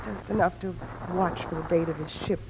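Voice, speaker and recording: Desperate young american lady in an old radio play, halting and resigned, hissy dull sound. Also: on the low side, steady tone, vocal fry. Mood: wary, resigned. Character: fatalistic, desperate young woman addict confessing. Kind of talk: 1950s radio drama acting. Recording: noisy, muffled vintage radio recording.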